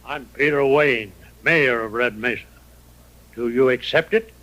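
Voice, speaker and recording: An actor playing an earnest, practical mayor in an old-time radio western, explaining things in a conciliatory way. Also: male voice, medium pitch, measured pace, pushed and bright, rough recording. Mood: earnest, businesslike.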